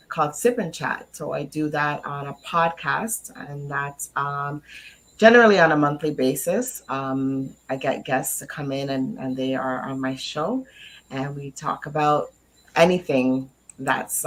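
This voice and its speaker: Very low-voiced woman, hesitant interview guest. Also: steady tone, a little slow, poor audio. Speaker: interview guest, podcaster and cast member. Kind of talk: informal interview self-introduction.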